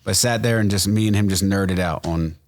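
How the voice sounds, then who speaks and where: Low-voiced guy hosting a chill podcast, says yo and like a ton, dry close mic. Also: a little fast, dry recording. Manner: animated, slangy, swears, lots of "yo" and "like". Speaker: podcast host. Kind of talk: casual interview chat.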